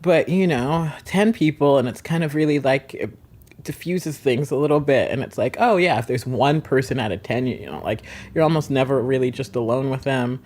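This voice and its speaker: A woman's voice: extremely low pitch, normal speed, a little mellow. A woman chatting casually as an interviewee. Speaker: interviewee, house resident.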